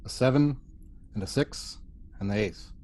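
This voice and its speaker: Confident, brash male officer dealing cards, read by a man, medium pitch, amateur sci-fi drama. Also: measured pace, a little mellow, close to an everyday voice. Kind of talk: amateur table read of TV script.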